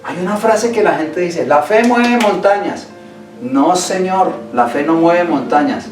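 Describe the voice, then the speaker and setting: A man preaching slowly and deliberately from the pulpit, his voice sitting a little high for a man. He hammers home key phrases by repeating them. The sound rings through an echoey church hall, picked up from some distance, with a faint guitar playing underneath. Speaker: pastor. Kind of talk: Sunday sermon. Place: church sanctuary.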